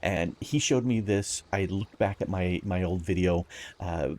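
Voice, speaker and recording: A man with a raspy early-morning voice, giving casual gameplay commentary as host, upbeat and chuckling often while he rambles through explanations. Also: sits low, unhurried, darkish tone.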